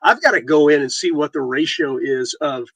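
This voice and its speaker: A man co-hosting a casual real estate talk show, reading headlines aloud and joking, with halting restarts. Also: fairly bright tone, breathy edge, no echo. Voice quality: deep voice. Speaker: podcast host and realtor.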